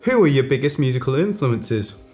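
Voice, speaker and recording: A man reading aloud as a host in a mock bedtime-story style, with a deliberate, theatrical storytelling delivery. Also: mid-range voice, lively intonation, a little mellow, soft background music.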